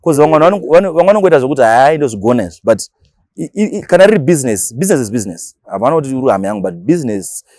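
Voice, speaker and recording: Zimbabwean guy, radio dj type, talks super fast, casual interview chat, really dry sound. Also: medium pitch, sing-song, darkish tone, no room sound.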